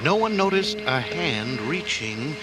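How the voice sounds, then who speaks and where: A man's voice: fairly high pitch, big pitch swings, slow, heavy background noise. A man reading a children's audio storybook as a dramatic narrator. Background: loud music.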